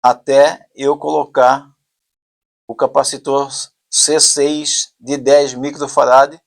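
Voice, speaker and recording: A man's voice: mid-range voice, flat delivery, slow, vocal fry. A man with a Brazilian accent giving an informal technical tutorial, talking conversationally and step by step as a hobbyist presenter.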